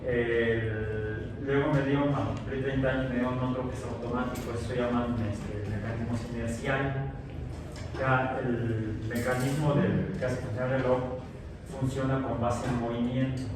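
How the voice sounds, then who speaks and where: A man's voice: mid-range voice, level tone, normal speed. A man, a science teacher chatting casually with his class, telling rambling stories full of fillers and restarts. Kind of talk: casual chat with students before class. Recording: very echoey room, distant mic, background noise.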